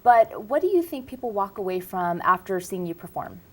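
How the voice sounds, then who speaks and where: A woman's voice: mid-range voice, sing-song. Cheerful woman hosting morning TV. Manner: bright, cheerful, with brisk prompts. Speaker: TV morning show host. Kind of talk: upbeat morning TV interview. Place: TV studio.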